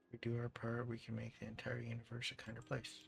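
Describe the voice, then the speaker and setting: Gravelly young man, casual game streamer. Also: mid-range voice, completely flat delivery, quick pace. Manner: laid-back, slangy. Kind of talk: casual live reaction commentary. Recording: game music behind the voice.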